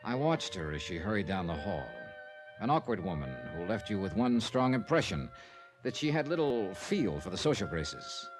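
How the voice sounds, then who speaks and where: An actor playing a shrewd, wry, hardboiled private investigator with an American accent in an old-time radio detective drama. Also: male voice, on the low side, rough recording. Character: shrewd, sardonic male private investigator narrating his case. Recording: vintage radio recording with music.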